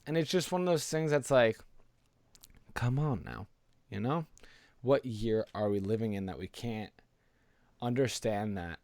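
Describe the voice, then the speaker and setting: A man talks as a podcast co-host in a dry, close-sounding recording. His pitch is medium, and his delivery is informal and conversational, peppered with rhetorical check-ins. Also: measured pace, long pauses, a little mellow, no room sound. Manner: informal, rhetorical tag questions.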